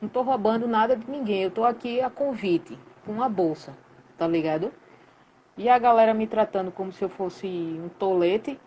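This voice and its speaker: A woman in her late thirties from Recife with a Pernambuco accent, telling a story in a lively, colloquial way full of slang during a casual live chat. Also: medium pitch, moderate pace, slight background noise, poor audio. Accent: Pernambuco Portuguese. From Recife, Brazil. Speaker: guest, sound professional.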